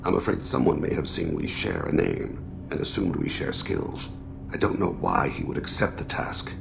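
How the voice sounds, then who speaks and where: Gravelly, extremely deep alien assassin. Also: male voice, sing-song, full character voice. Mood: quiet, troubled. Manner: measured, formal, introspective phrasing. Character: solemn alien assassin, grieving, regretful estranged father. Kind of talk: video game dramatic dialogue.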